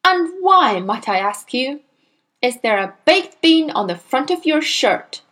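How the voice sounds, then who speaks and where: A woman's voice: high voice, very animated, measured pace, fairly bright tone, voice pushed up, heavily acted. A woman voicing a furious, bullying headmistress who barks and bellows her orders while reading a storybook aloud. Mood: scornful. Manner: bellowing, contemptuous, barking orders.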